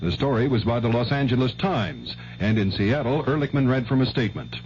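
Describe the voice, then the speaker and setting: An American man anchors network news, reading from a script in a mid-pitched voice. The vintage radio recording is muffled, with a faint noise bed. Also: animated, brisk, darkish tone. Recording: dull, noisy 1970s radio aircheck.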